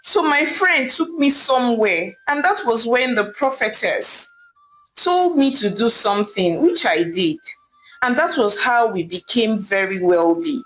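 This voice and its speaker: A weary, secretive mother in a folktale, played by a woman narrator. She explains gravely and with resignation, in a fairly high voice with expressive rises and falls. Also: measured pace, faint music behind, rough recording. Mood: confessing, apologetic.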